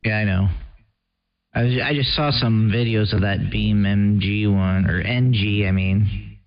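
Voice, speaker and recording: A man's voice: on the low side, fairly even intonation, a little slow. An American man chatting casually over two-way radio, hesitant and often searching for his words. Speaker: CB radio operator. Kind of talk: casual chat about video games. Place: on-air CB radio transmission. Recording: dull, muffled CB radio.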